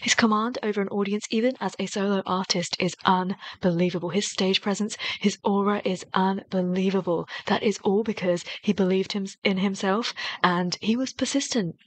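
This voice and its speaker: A woman's voice: mid-range voice, fairly even intonation, moderate pace, few pauses, no room sound. Female commentator, casual and admiring, emphatic delivery, close dry mic. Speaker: reaction video commentator. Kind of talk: casual commentary in English over an interview.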